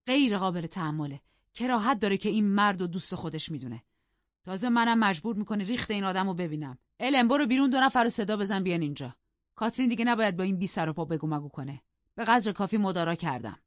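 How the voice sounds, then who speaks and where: A woman voicing a haughty, refined gentleman husband who speaks with formal, stern indignation. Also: fairly low pitch, animated, no echo, dull audio. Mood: indignant, commanding.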